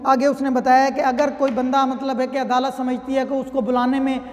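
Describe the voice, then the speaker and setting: A Pakistani man speaks in a strikingly high voice, explaining material as a lecturer would to students. His delivery is conversational and instructive. The sound carries a long reverb, as in a large hall. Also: monotone, moderate pace. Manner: conversational, reads clauses then explains them. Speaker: law lecturer. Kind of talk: explanatory lecture with worked examples.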